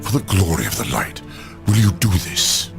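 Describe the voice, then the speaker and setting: An actor voicing a grave, anxious, dignified old angel in a video game, speaking formally and theatrically. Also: male voice, low voice, very animated, normal speed, creaky, over music, heavily acted. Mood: solemn. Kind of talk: video game drama acting.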